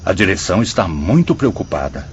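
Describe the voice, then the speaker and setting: An actor playing a concerned, formal male official of a spirit institute in a radio play, speaking gravely. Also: low-pitched, big pitch swings, a little fast. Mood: grave, worried. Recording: muffled audio, faint noise.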